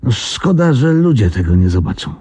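An actor playing a friendly film-editing colleague in a radio drama, chatty and exclaiming in surprise. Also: male voice, medium pitch, big pitch swings, moderate pace, dark tone, breathy edge. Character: friendly male colleague, easily astonished. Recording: radio play with music underneath.

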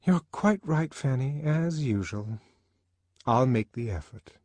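A man's voice: medium pitch, animated, slow pace, a little mellow, no room sound, pitched above the performer's own voice. A man voicing a breezy, casual young woman with a defiant, tender streak in an audiobook. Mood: resigned. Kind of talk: audiobook character acting.

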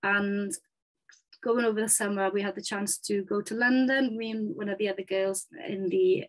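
A woman's voice: medium pitch, steady tone, normal speed, poor audio. Welsh student woman in her twenties, upbeat and chatty, talking over slides on a webcam. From Wales. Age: 20s. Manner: upbeat, chatty, with frequent ums. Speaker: physiotherapy student. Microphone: webcam on a video call.

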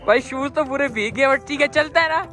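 A young man filming a travel vlog outdoors on a snowy train platform and inside a train, chatting excitedly with his viewers. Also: very high-pitched, brisk. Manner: excited, chatty, keeps calling viewers brother. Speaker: travel vlogger. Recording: background music mixed under the voice. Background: music.

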